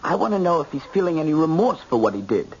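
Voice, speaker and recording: A man's voice: a little high, sing-song, quick pace, a little mellow. An actor playing a bitter, obsessed young man, a stubborn and accusing grown son, in old-time radio style. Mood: obsessed, insistent. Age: young adult. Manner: insistent, accusing. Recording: muffled, hissy old radio recording.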